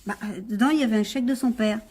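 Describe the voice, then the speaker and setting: An actress playing a cheeky, defiant young woman of twenty-one who is quick to talk back in a French radio crime drama. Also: a little high, darkish tone, slightly rough. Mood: evasive, explaining. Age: young adult. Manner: impudent, quick to retort. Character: cheeky, defiant 21-year-old woman with a muddled alibi.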